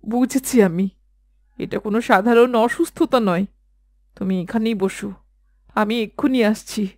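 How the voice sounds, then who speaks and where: A woman acting an anxious village wife who presses with concern. Her voice is fairly high and dry, played dramatically for a horror cartoon. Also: normal speed, darkish tone, no room sound, lightly acted. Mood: resolute. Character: worried, insistent village wife.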